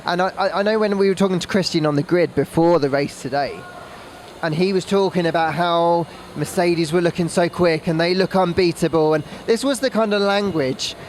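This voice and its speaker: A man's voice: high-pitched, a little fast, slight background noise. A man giving casual sports analysis as a motorsport podcast pundit.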